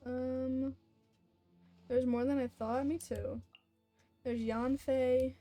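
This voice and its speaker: High-voiced, slow-paced young woman streamer. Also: steady tone, warm tone. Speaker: game streamer. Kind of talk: casual livestream chat while gaming. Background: faint game music.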